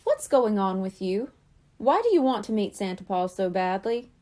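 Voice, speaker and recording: A woman voicing a gentle, knowing mother cat in a children's storybook. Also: medium pitch, expressive, moderate pace, natural voice. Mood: probing.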